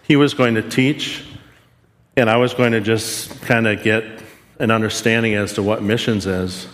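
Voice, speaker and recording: Low-voiced man preaching in a reverberant hall. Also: steady tone, moderate pace, fairly bright tone. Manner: earnest, self-deprecating storytelling to students. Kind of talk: sermon with personal story.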